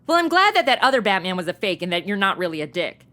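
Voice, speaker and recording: A woman's voice: mid-range voice, sing-song, fast, pushed and bright. A woman playing a flippant, chatty young woman with a sassy, irreverent way of talking in a comedy audio drama. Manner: sassy, irreverent, modern slang.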